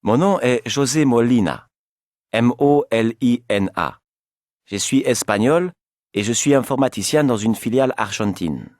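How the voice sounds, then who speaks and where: A man acting in scripted language-course dialogue as a polite, sociable businessman with clear delivery. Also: mid-range voice, animated, unhurried, darkish tone, no echo, clean studio sound, lightly acted. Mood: introducing himself. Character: polite, sociable businessman making small talk.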